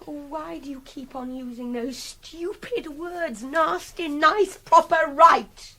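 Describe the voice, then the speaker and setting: High-voiced, scornful young Englishwoman. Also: slow, pushed and bright, exaggerated voice. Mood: angry, exasperated. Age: young adult. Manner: imperious, mocking, emphatic. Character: young female art student captive, scornful, volatile, articulate. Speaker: radio drama actor. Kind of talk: BBC radio drama acting.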